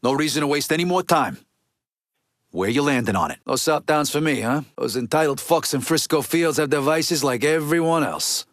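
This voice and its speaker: An actor playing a gruff, resentful mob lieutenant in a video game, with an American accent and a blunt, streetwise, foul-mouthed way of talking. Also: male voice, a little high, moderate pace, no echo, studio quality, natural voice. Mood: wry, businesslike. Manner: blunt, streetwise, heavy swearing.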